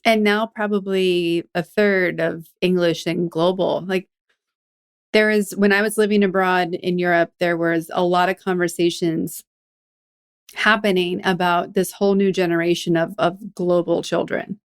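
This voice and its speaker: Smooth, low-pitched woman host. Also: a little slow. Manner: chatty and animated, with "like" and laughter. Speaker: podcast host. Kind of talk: conversational interview.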